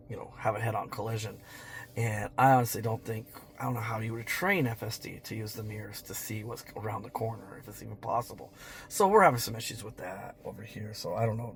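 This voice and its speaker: A man hosts a casual vlog at a middling pitch, with background noise around him. He is getting over a chesty cold. His talk rambles and hesitates, often repeating a phrase before moving on. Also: fairly bright tone. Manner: hesitant, circling back and repeating himself. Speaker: vlog host. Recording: clear background noise, on-location ambience.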